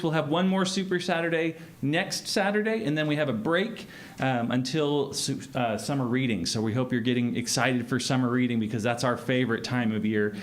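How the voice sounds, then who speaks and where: A man hosting a library event, giving an informal welcome and housekeeping announcements. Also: mid-range voice.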